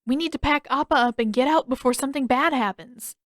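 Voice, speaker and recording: A woman's voice: high-pitched, fast talker, a bit bright, no echo, clean studio sound, voice pushed up. A woman voicing an earnest, alert young boy adventurer who speaks eagerly in a storybook adventure. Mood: anxious, urgent.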